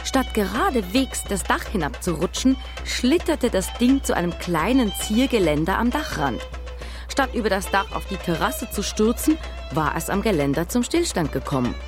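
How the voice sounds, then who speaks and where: A woman's voice: mid-range voice, very animated, moderate pace, over music, lightly acted. A woman acting as the narrator of a radio play, telling a mystery from her childhood. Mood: suspenseful.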